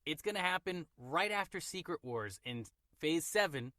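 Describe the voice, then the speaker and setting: A man's voice: fairly high pitch, expressive, moderate pace, sharp tone, no room sound, studio quality. A man co-hosting a movie review podcast in casual chat, enthusiastic and playful, sometimes reading a plot summary aloud. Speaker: podcast co-host.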